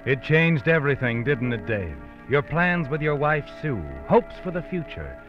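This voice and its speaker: An actor playing an ominous, all-knowing narrator who addresses the hero in an old radio thriller. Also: male voice, fairly high pitch, very animated, normal speed, music in the background. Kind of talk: dramatic second-person narration, old-time radio. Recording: muffled old radio recording.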